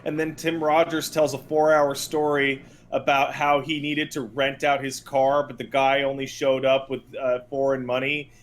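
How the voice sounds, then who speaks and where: A man's voice: medium pitch, level tone, moderate pace, hardly any pauses, slight background noise. A man co-hosting a casual gaming podcast, telling things in a blunt, profane, emphatic way. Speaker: podcast co-host. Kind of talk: casual podcast chat about video games.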